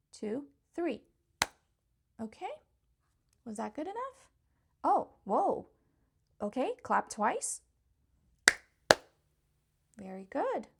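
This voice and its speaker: High, animated woman reading to young children. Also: vocal fry, husky. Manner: encouraging, coaxing, addressing small children directly; playful, exclamatory reactions. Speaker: read-aloud host for young children. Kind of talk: interactive children's picture book read-aloud.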